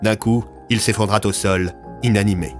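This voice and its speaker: A man's voice: fairly low pitch, fairly even intonation, normal speed, faint music behind. A man narrating scripted audio fiction as a storyteller.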